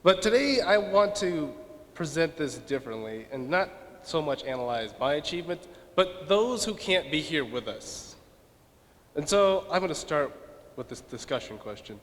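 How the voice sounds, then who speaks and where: A man's voice: a little high, sing-song, normal speed. A young man from Nevada, a student giving a self-deprecating speech with joking asides at a donor event. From Las Vegas, Nevada. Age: young adult. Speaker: student speaker. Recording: reverberant hall.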